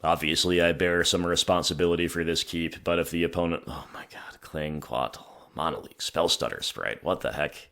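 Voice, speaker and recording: Hoarse man with a low voice, wry gameplay commentary, talks through his decisions. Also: fairly even intonation, moderate pace, hardly any pauses, fairly bright tone. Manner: thinking aloud, wry, self-mocking. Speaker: gaming channel host.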